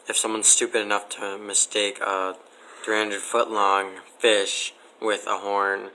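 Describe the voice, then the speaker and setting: A man giving casual, matter-of-fact interview answers in a deadpan way. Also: lowish voice, slight background noise, rough recording.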